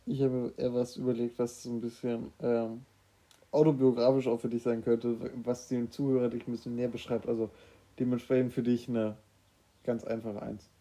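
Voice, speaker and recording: Guy chatting in a guessing game, really mellow dark tone, dry mock-formal answers. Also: medium pitch, little pitch movement, moderate pace. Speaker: co-host playing a guessing game. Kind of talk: casual banter during a who-am-I guessing game.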